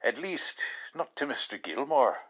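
A man's voice: medium pitch, sing-song, measured pace, a bit bright, husky, exaggerated voice. An actor playing a blunt, wry Irish policeman in a 1940s-style radio drama, heard through a telephone filter. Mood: stern, wry. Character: gruff, wry Irish male police officer. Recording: dull, band-limited phone line.

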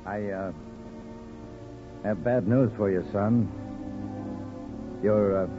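Low, grave army officer. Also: male voice, steady tone. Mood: grave, regretful. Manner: halting, grave. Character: solemn, sympathetic male army commanding officer. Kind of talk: 1950s radio drama acting. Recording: dull old radio recording, music behind.

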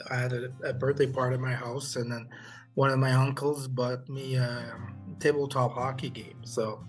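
A middle-aged former hockey player from Haiti, raised in Quebec, telling stories in an interview with many pauses and restarts. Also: male voice, medium pitch. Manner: halting, many fillers and restarts. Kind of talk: interview storytelling.

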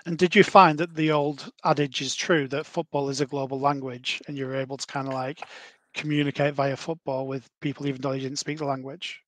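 A man's voice: mid-range voice, flat delivery, brisk, a bit bright, dry recording. A man in his thirties hosting a casual podcast interview, asking long rambling questions with many fillers. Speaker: podcast host.

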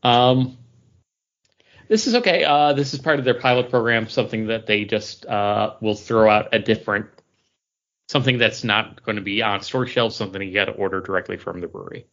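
A man's voice: fairly low pitch, brisk, a bit bright. A male podcast co-host chats in an unscripted, rambling way. He feels his way through sentences with some hesitation. The recording is somewhat dull and lo-fi. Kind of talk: casual chat, drink review.

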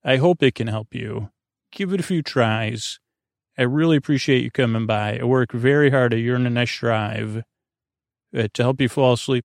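A man hosting a bedtime podcast in a gravelly voice, rambling and halting, often trailing off mid-thought. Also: medium pitch, no room sound, clean studio sound. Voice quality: creaky, gravelly. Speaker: podcast host.

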